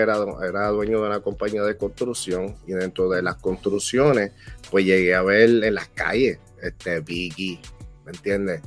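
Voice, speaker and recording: A man with a Puerto Rican accent, a music producer talking as an interview guest in lively, slangy street talk. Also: low voice. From Puerto Rico. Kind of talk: casual interview.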